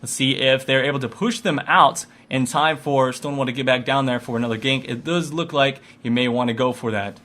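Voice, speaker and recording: Bright-voiced man calling game action. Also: medium pitch, brisk, few pauses. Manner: excitable, rising with the action. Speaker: esports commentator. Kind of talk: live video game play-by-play commentary.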